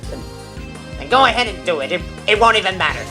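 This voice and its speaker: A woman's voice: medium pitch, expressive, moderate pace, pushed and bright, faint music behind. A woman voicing a hot-tempered, resentful male weasel crook who stammers indignantly in an amateur audio drama. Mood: panicked, defiant.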